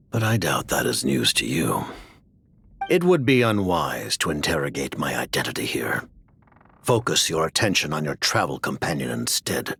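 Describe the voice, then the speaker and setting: An actor playing a mysterious older commander in a video game, grave, formal and measured, with a very deep gravelly voice. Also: male voice, a bit bright, heavily acted. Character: mysterious, commanding older male director of a sinister order. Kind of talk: dramatic video game cutscene acting.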